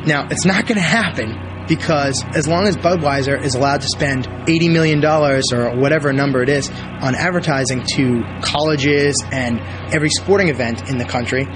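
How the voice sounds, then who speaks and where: A man's voice: fairly high pitch, fairly quick, music in the background, low-quality recording. A man giving an emphatic, opinionated interview in short punchy clauses. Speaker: documentary interviewee. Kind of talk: interview talk.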